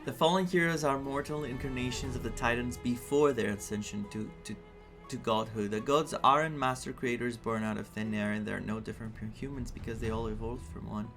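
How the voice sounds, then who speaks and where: A man giving casual playthrough commentary as a gaming streamer, thinking aloud in a halting way, with game music behind him. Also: mid-range voice, fairly even intonation, gravelly.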